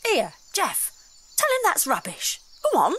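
A woman's voice: high voice, huge pitch swings, measured pace, fairly bright tone, exaggerated voice. A woman playing a brash, impatient young railway worker in an audio drama, blunt, pushy and colloquial.

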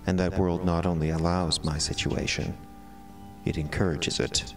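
An actor playing an eloquent, melodramatic cat who sees himself as a prisoner, speaking in formal, florid, theatrical monologue. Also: male voice, sits low, level tone, normal speed, distant mic. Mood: grave, bitter. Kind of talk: dramatic first-person audio drama monologue. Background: music.

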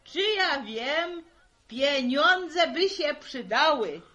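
A woman's voice: sits high, big pitch swings, drawn-out words, bright, forceful tone, heavily acted. An actress playing a simple middle-aged village mother, hesitantly stumbling through a part in a 1960s radio drama. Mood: flustered, timid. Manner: hesitant, folksy, stumbling over words. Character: simple, flustered village mother haltingly reading a play part.

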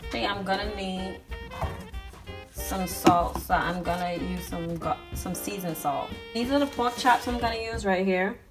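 A woman's voice: medium pitch, measured pace. A young woman in her home kitchen, chatting to viewers as she cooks and explains each step. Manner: chatty, addresses viewers as y'all. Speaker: vlogger cooking at home. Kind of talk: casual cooking vlog narration. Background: music and food sizzling.

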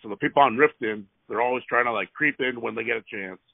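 A man speaks in a gravelly voice over a tinny phone line. He rambles with plenty of hesitation but keeps pressing his complaint. The sound is dry and close, as if from a parked car. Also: mid-range voice, fast, no room sound. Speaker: resident calling about traffic. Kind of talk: phone call.

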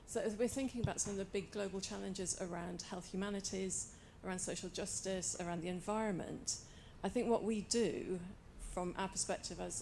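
A woman academic speaking from the audience of a panel event in an articulate, thoughtful, measured way. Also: mid-range voice, gravelly, a little mellow, slight background noise. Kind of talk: panel discussion remarks. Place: lecture hall, in the audience.

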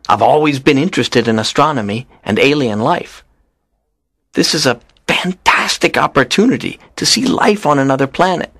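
A lonely teenage schoolboy in a storybook drama acted out by a man, earnest and pleading. His pitch moves around a great deal as he makes his case like a determined, unhappy dreamer. The sound is dry and close. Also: vocal fry, fairly bright tone, dry recording, exaggerated voice.

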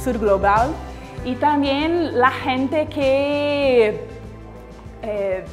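Brazilian woman, thirties, native Portuguese speaker. Unhurried, earnest interviewee, reverberant hall with music underneath. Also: high-pitched, animated. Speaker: city councillor, interviewee. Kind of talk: interview answers. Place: large bright indoor hall. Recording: echoey hall, music behind.

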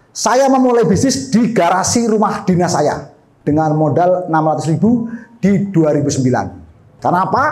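A middle-aged man giving an energetic business seminar talk into a handheld microphone in a meeting hall, gesturing and questioning his audience. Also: sits high. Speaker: seminar speaker.

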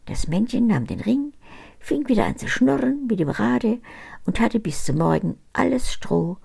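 An elderly woman reads a fairy tale aloud in a cozy home study full of books. Her voice is gravelly and husky and sits fairly low. She storytells with a lively, rising and falling melody. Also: moderate pace. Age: older, about 70s. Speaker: storyteller reading fairy tales.

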